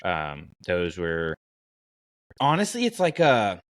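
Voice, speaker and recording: A man's voice: deep voice, wildly swooping pitch, takes it slowly, dry recording. A man hosting a podcast in casual conversation, thinking aloud and giving his opinions as he goes. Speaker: podcast host. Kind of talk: casual podcast chat about fiction.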